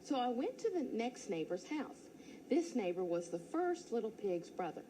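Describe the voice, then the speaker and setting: A teacher reading a children's storybook aloud in first person, playful and expressive. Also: female voice, on the high side, normal speed, a little hiss or hum, rough recording.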